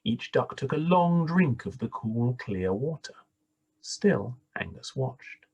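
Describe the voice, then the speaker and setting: Animated, husky male storybook reader. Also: medium pitch, muffled recording. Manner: animated, deliberate, dramatic pauses. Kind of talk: expressive read-aloud children's story narration.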